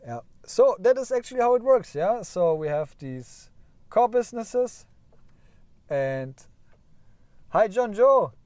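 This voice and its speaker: A man's voice: sits high, animated, takes it slowly, pauses often, darkish tone, dry recording. A man with a German accent hosting a casual livestream, rambling and correcting himself as he chats with viewers. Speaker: livestream host.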